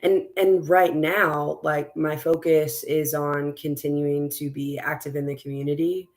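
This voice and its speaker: Raspy-voiced woman rambling with fillers. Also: deep voice, little pitch movement, measured pace. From West Texas. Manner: rambling, many fillers and restarts. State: raspy, strained voice. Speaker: school board candidate, guest. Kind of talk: casual conversational interview.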